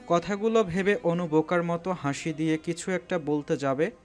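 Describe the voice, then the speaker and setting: A man with a slightly high voice narrates a scripted story. He delivers it as dramatic storytelling, with faint music behind him. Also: moderate pace.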